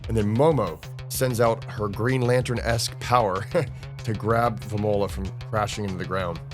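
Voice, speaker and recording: A man doing casual, excited play-by-play narration of comic panels, informal and dramatic, as a read-along host. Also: normal speed. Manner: informal, dramatic, with interjected booms. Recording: music under the voice. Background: music.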